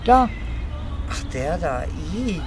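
A woman's voice: on the low side, big pitch swings, slow, over music. A woman playing a curious, appalled onlooker in a radio play. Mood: appalled curiosity. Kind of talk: German radio play acting.

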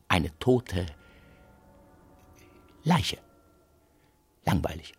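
A man's voice: low voice, breathy edge, heavily acted. A man playing a frazzled, self-pitying cookie inventor, jittery and melodramatic, in a children's audio drama. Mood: doom-laden. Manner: melodramatic, jittery, repeats himself plaintively.

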